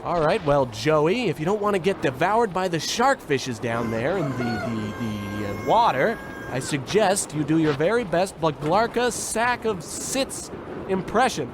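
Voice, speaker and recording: A man's voice: a little high, animated, a little slow, background noise. A man playing a pompous, self-absorbed, insulting adventurer in an old-time radio comedy. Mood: bossy. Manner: pompous, bossy, theatrical.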